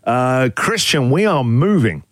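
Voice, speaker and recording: A man with an Australian accent hosting a breakfast radio show, talking in energetic, jokey banter. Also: medium pitch, measured pace, no echo, clean studio sound. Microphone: studio mic.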